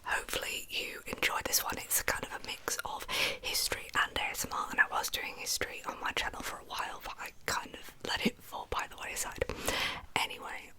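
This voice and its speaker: A British woman telling true crime stories for ASMR in a breathy, unvoiced whisper, with an informal, chatty manner. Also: brisk, sharp tone.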